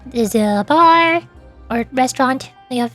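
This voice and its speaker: A woman with a high-pitched voice gives casual, rambling live-stream commentary. She explains things in a chatty way and drops in playful asides. Music plays behind her. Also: animated, unhurried, a bit bright.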